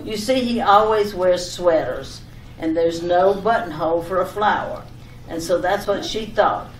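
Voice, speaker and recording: A woman's voice: lowish voice, a little slow, close to an everyday voice. Woman acting a chattering, insistent wife, echoey distant sound. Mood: insistent, over-explaining. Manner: chattering, coaxing, over-explaining. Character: breezily insistent wife, rambling and mildly exasperated. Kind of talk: old-time radio domestic comedy acting. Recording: echoey, distant, slightly noisy old radio recording.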